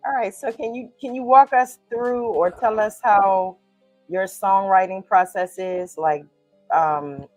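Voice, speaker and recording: A woman's voice: medium pitch, measured pace, darkish tone, dry recording, soft background music, low-quality recording. An American woman hosting an internet radio interview. She asks questions casually, says "like" often, and restarts her sentences. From United States. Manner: peppers questions with "like", restarts them. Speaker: radio show host. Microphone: video call.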